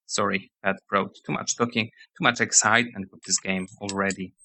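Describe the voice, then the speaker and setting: A man giving rambling let's-play commentary on a game, hoarse as he loses his voice, reading menus and game text aloud. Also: lowish voice, fairly even intonation, moderate pace, bright, forceful tone, a little hiss or hum. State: hoarse, losing voice. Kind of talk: casual gameplay commentary.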